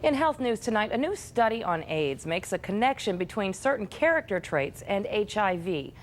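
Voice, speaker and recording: A woman's voice: mid-range voice, very animated, moderate pace, faint music behind. A woman with an American accent, reading scripted news as a television anchor.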